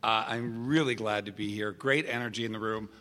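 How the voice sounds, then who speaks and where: Loud-voiced man in a reverberant hall. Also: lowish voice, fairly even intonation, fairly quick. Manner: loud, carrying voice. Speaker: radio show guest and political commentator. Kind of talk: conversational interview before a live audience. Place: large room with a live audience. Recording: reverberant live stage hall.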